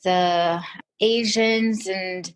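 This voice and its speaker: A woman's voice: mid-range voice, fairly even intonation, drawn-out words, clear, resonant tone. A woman from Trinidad and Tobago with a light Trinidadian accent, a podcast guest who answers questions thoughtfully and with some hesitation. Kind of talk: casual interview answers.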